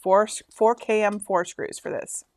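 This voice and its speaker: A woman's voice: mid-range voice, steady tone, measured pace, darkish tone, no room sound. A chatty woman in her fifties from Michigan, hosting a casual live stream and rambling as she reads instructions aloud. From Michigan, USA. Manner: chatty, rambling, laughs often. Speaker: host.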